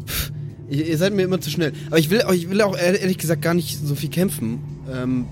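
A man's voice: a little high, brisk. A man talking casually as a role-play player, hesitantly searching for his words. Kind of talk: casual group chat. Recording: prominent music bed underneath. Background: background music.

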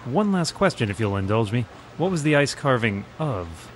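An actor playing a pompous, mysterious crime-fighter in a melodramatic parody of old-time radio acting. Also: male voice, medium pitch, sing-song, normal speed, slight background noise, lightly acted. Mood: pompous, probing. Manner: melodramatic, grandly theatrical.

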